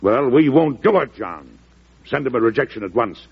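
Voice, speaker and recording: Stern American president, lively swooping delivery, husky voice, 1940s radio drama, dulled old broadcast sound. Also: male voice, medium pitch, moderate pace, muffled recording. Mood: firm indignation. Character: stern, stubborn, wry older American president.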